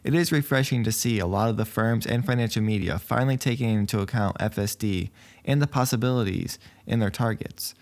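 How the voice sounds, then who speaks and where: A man hosting an online video, giving scripted news commentary in a measured, explanatory way with his own opinions mixed in. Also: medium pitch. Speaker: YouTube host commenting on company and investing news.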